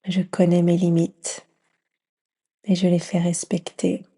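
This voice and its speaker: A woman leading a guided yoga nidra meditation in a gentle, soothing near-whisper with long pauses. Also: on the low side, completely flat delivery, breathy edge.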